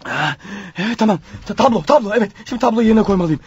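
A high-pitched, panicky fugitive clerk. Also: male voice, animated, racing, breathy edge, dry recording, dull audio, heavily acted. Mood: nervous, muttering. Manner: stammering, agitated, talks nervously to himself. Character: panicky, guilt-ridden former clerk turned accidental killer. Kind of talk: radio theatre acting.

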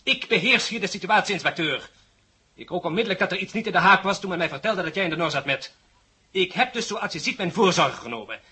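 Suave hypnotist, fairly high voice, sharp and raspy, self-assured, commanding, vintage radio play. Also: male voice, expressive, fast talker, full character voice. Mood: menacing, commanding. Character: suave, loyal male stage magician and hypnotist, coolly menacing. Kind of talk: 1970 Dutch radio drama acting.

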